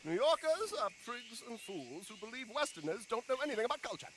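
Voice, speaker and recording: Flamboyant showman con artist, florid and grandiose, wildly swooping high voice, game cutscene, noisy background. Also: sing-song, fast. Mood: theatrical flattery. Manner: florid, effusive, theatrical delivery. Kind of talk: video game cutscene acting.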